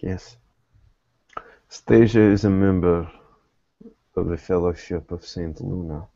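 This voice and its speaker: A man playing a weary, guarded private investigator in a tabletop roleplay, speaking in halting, deliberate, formal phrases. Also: sits low, steady tone, vocal fry, mellow, no echo, heavily acted. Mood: grave, measured. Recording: dull lo-fi recording.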